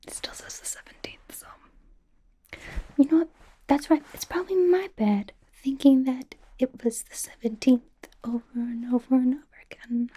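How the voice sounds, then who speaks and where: Casting: woman to play chatty young superheroine interrogator for ASMR roleplay, high but soft and mellow, mostly whispered, playfully suspicious and rambling. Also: moderate pace, slightly rough. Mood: apologetic, rambling.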